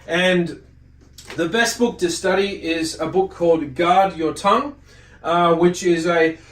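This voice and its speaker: Guy giving a religious lesson, halting and rambly, kinda far from the mic, echoey room. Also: high voice. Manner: halting, deliberate, rambling with self-corrections. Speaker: religious teacher. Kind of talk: informal religious lecture, reading and explaining from a book. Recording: roomy echo, distant microphone.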